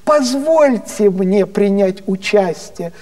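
Guy doing a motherly bossy soviet lady official, high voice, pleading and sentimental, bit distant from mic. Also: expressive, takes it slowly, a little mellow. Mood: earnest pleading. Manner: pleading, emphatic, endearing address. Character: sentimental, earnest female Soviet government minister, motherly and bossy.

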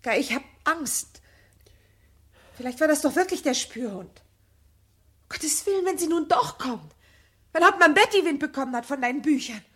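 An actress playing a petulant, shallow, anxious wife hooked on television, in a 1970 German radio drama. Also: female voice, sits high, animated, moderate pace, long pauses, pushed and bright. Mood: panicked, tearful. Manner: petulant, agitated, repeating words.

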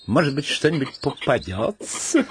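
An actor playing a bashful, hesitant suitor in a theatrical comic radio musical play. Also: male voice, medium pitch, very animated, normal speed, a little hiss or hum, close to an everyday voice.

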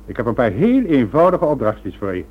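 An actor playing a menacing, commanding crime boss in a radio play, full of contempt and mock-polite threats. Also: male voice, mid-range voice, wildly swooping pitch, fairly quick. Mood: scornful, threatening. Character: domineering, menacing male crime boss with a knighthood. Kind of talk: radio drama acting. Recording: narrow, phone-like old radio recording.

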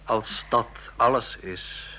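An actor playing an ambitious, jealous young counter-espionage agent in a radio drama, insistent and sceptical in manner. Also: male voice, medium pitch, slow pace, sharp tone, highs cut off. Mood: suspicious, jealous. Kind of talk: Dutch radio drama acting.

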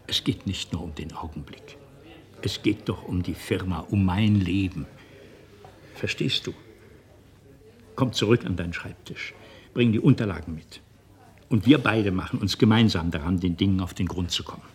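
A man's voice: deep voice, measured pace, pauses often. A man playing a middle-aged company boss and father in a German radio drama, self-righteous and manipulative, with a patronizing, rhetorical manner. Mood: pleading. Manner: rhetorical, patronizing, swinging from reasoned to indignant.

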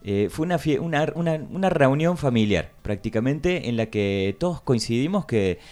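A man's voice: medium pitch, animated, moderate pace, a little mellow. A man with an Argentine accent, a political candidate on a radio interview, speaking emphatically in firm statements. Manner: emphatic, declarative.

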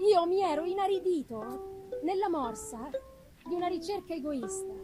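A woman giving an expressive, deliberate dramatic reading of literary prose, with weighty pauses. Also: very high voice. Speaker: reader of a classic novel. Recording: live musical accompaniment behind the voice. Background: live music.

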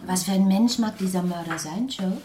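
An actress playing a young daughter in a German radio play, light, curious and teasing in manner. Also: female voice, mid-range voice, normal speed, warm tone, reverberant, slight background noise, natural voice. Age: young adult. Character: carefree, teasing young daughter of London landlords.

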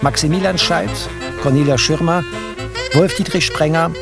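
Male credits announcer for radio drama: formal, clipped, fairly high voice over prominent swing music. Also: normal speed, gravelly. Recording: loud swing music behind.

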